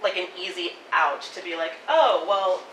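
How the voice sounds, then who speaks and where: Bright-voiced woman, far off in an echoey room. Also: lowish voice. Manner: animated, fast, many "like" fillers, laughing. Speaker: audience member giving feedback. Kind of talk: casual spoken critique at a live table reading. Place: coffee shop event room. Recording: echoey room, far from the mic, faint noise.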